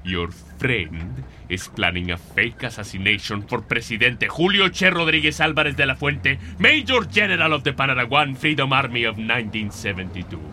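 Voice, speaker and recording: A man playing a zealous, smooth-talking rebel leader with a grandiose, insistent delivery in an audio drama. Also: mid-range voice, animated, brisk, few pauses, bright, forceful tone, slight background noise. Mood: knowing, insistent.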